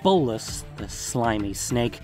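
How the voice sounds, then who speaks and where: A man's voice: medium pitch, sing-song, slow pace, over music. A man acting as narrator, reading scripted fantasy fiction.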